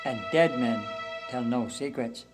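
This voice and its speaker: An actor playing a gruff, harried police inspector with a blunt, exasperated manner in film drama. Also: male voice, mid-range voice, a little slow, lightly acted. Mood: worried, wry. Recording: echoey room with faint music.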